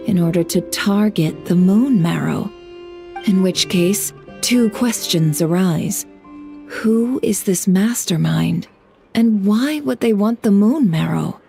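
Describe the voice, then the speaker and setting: A woman voicing a composed, shrewd intel broker in a video game, speaking in a formal, measured, coolly teasing way. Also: mid-range voice, gravelly, mellow. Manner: formal, measured, understated menace.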